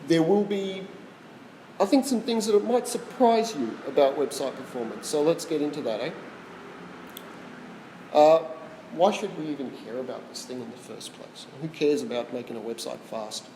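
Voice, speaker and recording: A man's voice: a little high, moderate pace, warm tone, slight background noise. A man with an Australian accent giving a casual tech talk to a small live audience, chatty and quizzing the room. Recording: roomy echo with long reverb.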